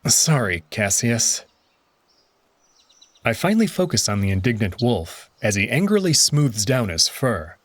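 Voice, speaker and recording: A man narrating a visual novel in first person with an expressive, conversational delivery. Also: mid-range voice, a little slow.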